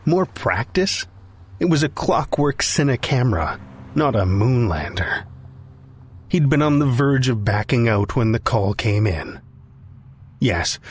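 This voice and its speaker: A man's voice: mid-range voice, slight background noise. A man narrating scripted fiction for a podcast as its storyteller. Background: music.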